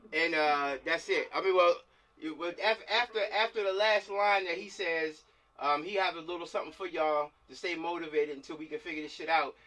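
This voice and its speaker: Guy leading a script read on a video call, slangy, bright voice, kinda far from the mic. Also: sits high. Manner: slangy, repeats himself for emphasis. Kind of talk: casual chat and directing actors.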